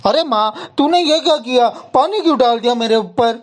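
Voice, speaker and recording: An actor playing a lazy, quick-tempered husband in a dramatic cartoon story, loud, indignant and whining. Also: mid-range voice, animated, natural voice. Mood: indignant, defensive.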